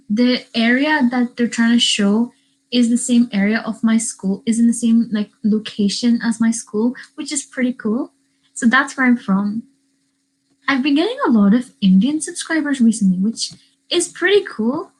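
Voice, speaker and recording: A woman's voice: on the high side, low-quality recording. A teenage girl from Northern Ireland chatting casually on a livestream, rambling and answering questions from the live chat. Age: teens. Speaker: YouTuber. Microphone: webcam.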